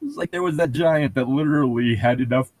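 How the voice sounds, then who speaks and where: A man's voice: mid-range voice, fairly quick, darkish tone. A man co-hosting a casual book-reading podcast, chatting in a rambling way and reading prose aloud, with casual swearing. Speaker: podcast co-host reading a novel aloud with commentary.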